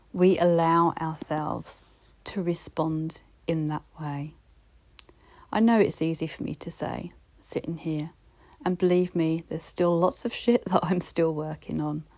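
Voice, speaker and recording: A woman with a British accent giving a reflective solo podcast talk, gently encouraging and speaking straight to the listener. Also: low voice. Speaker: podcast host.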